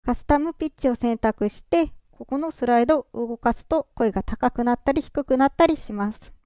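A presenter walking through software setup step by step in a calm, explaining way, their voice run through a pitch-raising voice changer. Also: a little fast, smooth, no echo, clean studio sound. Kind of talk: screen-recorded software walkthrough.

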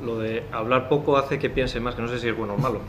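A man's voice: medium pitch, steady tone, quick pace, noisy background. A man in his thirties on a conference panel, starting his points reflectively with stumbling restarts. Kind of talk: panel discussion. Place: conference room. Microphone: desk microphone.

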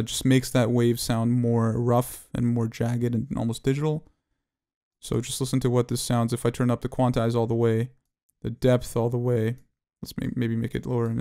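A man giving an unscripted tutorial walkthrough, talking in a halting way as he thinks aloud. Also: mid-range voice, warm tone, clean tone. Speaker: audio plugin demonstrator.

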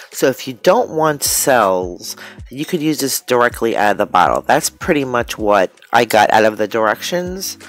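A woman with a congested, nasal voice from a cold, chatting informally and a little ramblingly as she presents a hands-on craft unboxing. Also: very deep voice. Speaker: craft vlogger. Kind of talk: casual hands-on unboxing chat. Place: indoors at a craft table.

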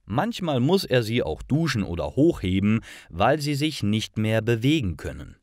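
A man reading scripted stories for language learners, with careful, deliberate enunciation. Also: on the low side, big pitch swings, darkish tone, no echo, studio quality. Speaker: language-course narrator.

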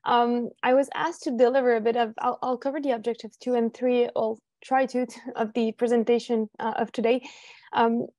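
A young woman with a Quebec French accent speaks over a dry, close video call line, her tone mellow and soft-edged. She is friendly and a little hesitant, restarting phrases as she presents, and her voice sits a bit high. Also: level tone, moderate pace, no echo, lo-fi sound. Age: young adult. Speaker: family physician guest speaker. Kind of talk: webinar presentation.

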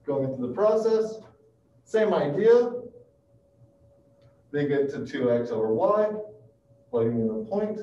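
A man in his thirties giving a math lecture in halting phrases, often checking in with his listeners, picked up by a distant camera mic in a classroom. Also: sits high, big pitch swings, gravelly, dark tone. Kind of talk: classroom calculus lecture, working through steps aloud. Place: small classroom with chalkboard and projector. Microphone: far camera. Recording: muffled, roomy, distant mic with hiss.

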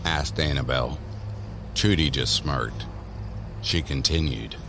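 A man giving measured, deliberate narration for a scripted horror audio drama. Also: rumbling low, fairly bright tone, a little hiss or hum. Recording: voice over background music.

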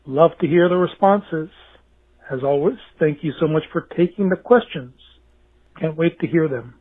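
A man's voice: a little high, moderate pace, slightly rough. A man leaving a friendly voicemail question as a caller, laying out his points in order. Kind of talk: voicemail message. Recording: tinny phone voicemail line.

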